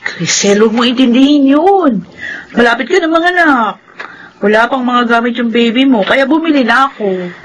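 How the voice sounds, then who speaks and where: A woman's voice: on the high side, animated, moderate pace, dull audio, slight background noise, close to an everyday voice. A woman playing a kind, hardworking tomboy. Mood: caring. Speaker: lead character. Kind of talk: Filipino radio drama acting.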